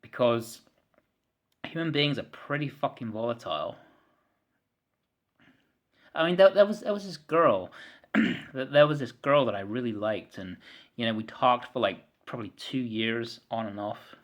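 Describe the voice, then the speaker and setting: A man in his mid-thirties talks straight to his camera in a long, frustrated rant. He circles back over his words, stumbles on repeats and swears freely. His throat clearing keeps breaking into the flow. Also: medium pitch, animated, a little slow, long pauses. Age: 30s. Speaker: vlogger. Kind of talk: frustrated ranting monologue to camera.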